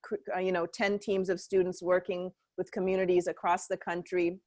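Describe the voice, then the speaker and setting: A woman's voice: lowish voice, flat delivery, fairly quick, a little mellow, no echo, clean studio sound. A woman professor speaking thoughtfully and fluently as a panelist in a video call discussion. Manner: thoughtful, fluent academic speech with fillers.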